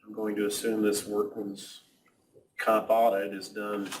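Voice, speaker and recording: A man with a fairly low voice reads out claims and their amounts at a county board meeting in a plain, matter-of-fact way. He sits back from the microphone with a faint hiss in the room. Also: monotone, measured pace. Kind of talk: public meeting business. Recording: mic at a distance.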